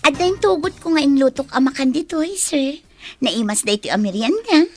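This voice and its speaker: A woman's voice: sits high, expressive, brisk, faint music behind. An actress playing a scheming young office woman who leans on her mother, in an Ilocano radio drama. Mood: catty, probing.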